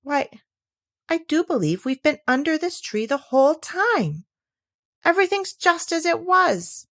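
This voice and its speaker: Woman voicing an eager, animated storybook young girl. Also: high-pitched, measured pace, no room sound, studio quality, voice pushed up, exaggerated voice. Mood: puzzled wonder. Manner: wondering, earnest, polite. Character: Alice, eager, curious, polite young girl.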